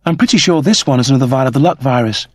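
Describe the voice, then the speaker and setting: A man's voice: medium pitch, very fast, no room sound, studio quality, pitched above the performer's own voice, lightly acted. A man voicing a confident young woman who is breezy, playful and teasing. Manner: casual, playful.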